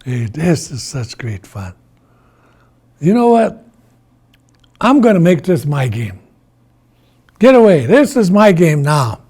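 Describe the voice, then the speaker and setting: A man voicing a childish, sulky trickster in an oral folktale, whining, begging and excitable. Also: mid-range voice, moderate pace, hardly any pauses, breathy edge. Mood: gleeful. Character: childish, sulky, pleading trickster who turns greedy.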